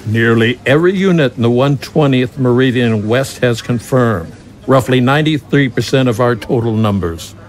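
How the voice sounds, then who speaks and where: An actor playing a dutiful general in an audio drama, speaking with measured, deferential military formality to a superior. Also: male voice, medium pitch, natural voice. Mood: cautious. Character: dutiful male general defending himself to his superior.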